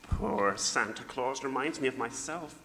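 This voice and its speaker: A man's voice: medium pitch, a little slow, sharp tone. A man playing an educated, self-pitying sound-effects man in an old-time radio comedy, speaking with formal indignation. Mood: bitter, self-pitying. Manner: formal, indignant, mournful oratory. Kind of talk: 1940s radio comedy acting, live stage tribute. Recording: echoey hall with faint noise.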